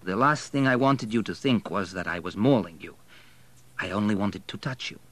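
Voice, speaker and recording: A man's voice: on the low side, normal speed, a bit bright. A man voicing a proud, earnest young Polish immigrant suitor with a slight accent and a formal, pleading manner. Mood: quiet, apologetic.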